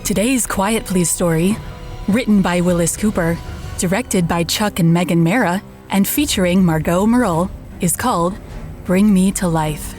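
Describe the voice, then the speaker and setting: Lowish-voiced woman announcer over music. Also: moderate pace, a little mellow. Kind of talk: scripted introduction.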